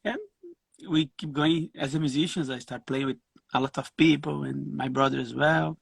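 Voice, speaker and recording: A middle-aged man from Brazil, a guest musician telling his story in a casual interview over a video call, halting and restarting his phrases. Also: on the high side, fairly even intonation, vocal fry, slightly rough, dry recording.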